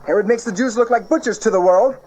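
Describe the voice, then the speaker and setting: A man's voice: high voice, quick pace, a little mellow, natural voice, low-quality recording. An actor playing an outraged, reckless townsman in an audio Bible drama, sarcastic and impassioned.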